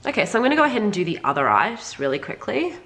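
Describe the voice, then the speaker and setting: A woman's voice: medium pitch, sing-song, racing, fairly bright tone. Congested, slightly nasal woman chatting about makeup. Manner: informal, frequent "like", addressing viewers directly. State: sick with a cold. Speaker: beauty vlogger reviewing makeup. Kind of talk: casual chatty makeup review to viewers.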